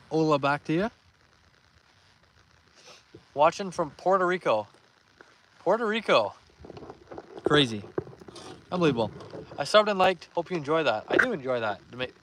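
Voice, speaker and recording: A young man hosting a live fishing stream outdoors, reading viewer comments aloud and giving upbeat shout-outs in a relaxed voice. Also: fairly high pitch, a little hiss or hum, poor audio. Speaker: fishing livestream host.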